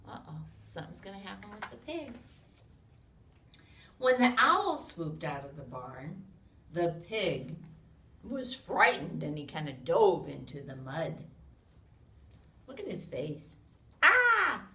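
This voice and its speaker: An American woman reads a picture book aloud to young children in an expressive way, with sound effects, questions and hushed asides to her listeners. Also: fairly low pitch, slow pace, long pauses. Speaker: children's read-along reader. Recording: roomy, distant mic with noise.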